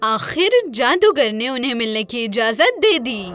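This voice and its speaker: High, animated woman telling a fairy tale. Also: soft background music. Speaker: storyteller. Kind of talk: scripted children's story narration.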